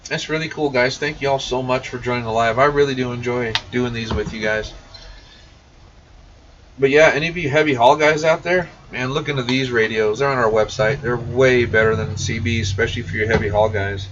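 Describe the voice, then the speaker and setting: A man's voice: medium pitch, fairly quick, a bit bright. A man hosting a casual shop livestream, reading and answering viewer comments in a folksy, informal way. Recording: muffled, distant mic with faint noise.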